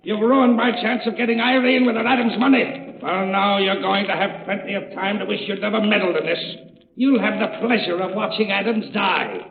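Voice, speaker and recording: Ruthless gloating villain, tinny old radio. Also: male voice, high-pitched, fairly even intonation, a little fast, gravelly. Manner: menacing, taunting, theatrical. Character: ruthless gloating swindler and killer, male villain. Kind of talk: 1930s radio thriller acting. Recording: tinny, noisy, echoey old radio recording.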